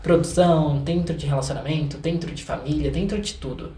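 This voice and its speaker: A young Brazilian man chatting casually as an interview guest, an animated storyteller who acts out quotes. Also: on the high side, a little fast. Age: young adult. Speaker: guest, singer and artist. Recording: echoey room with some background noise.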